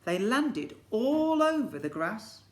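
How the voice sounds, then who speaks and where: Animated male teacher reading to young children. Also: sits high, slow pace. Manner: asks the young listeners questions. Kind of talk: expressive children's story reading with chatty asides. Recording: echoey room.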